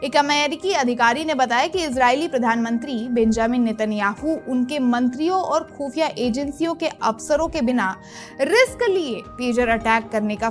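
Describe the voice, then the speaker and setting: A woman presenting a scripted news explainer in the manner of a narrated report. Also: high voice. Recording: music bed under the voice. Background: background music.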